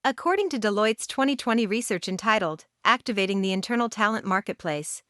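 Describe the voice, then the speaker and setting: A woman delivers scripted narration as a show assistant. Her voice is mid-pitched and recorded very dry, with no room on it. The delivery is formal and measured. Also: lively intonation, fairly bright tone, no echo. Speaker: show's assistant.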